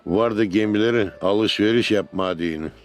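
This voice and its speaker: A man playing a wise, measured father who tells history to children in an educational cartoon. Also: lowish voice, soft background music, close to an everyday voice. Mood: instructive. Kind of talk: cartoon storytelling, educational narration.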